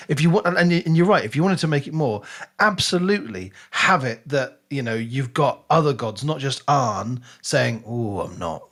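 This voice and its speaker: A man's voice: mid-range voice, fairly bright tone. Man chatting analytically about books. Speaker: podcast host. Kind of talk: casual book discussion.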